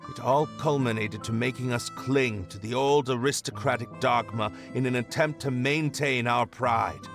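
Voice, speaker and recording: A man's voice: mid-range voice, natural voice. A man playing a penitent young noble in a video game cutscene, hesitant, formal and earnest. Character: penitent young male noble, disoriented and weary.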